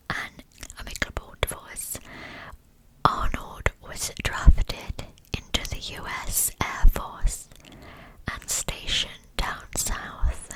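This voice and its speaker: A woman whispering in a hushed, soothing way as the host of an ASMR true crime channel. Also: rough recording. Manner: hushed, soothing delivery meant for sleep.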